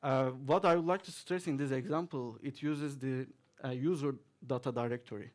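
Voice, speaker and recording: Man giving a relaxed tech talk. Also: medium pitch, normal speed. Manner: relaxed and chatty, with many fillers, chuckling now and then. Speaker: conference speaker. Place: conference hall, audience present.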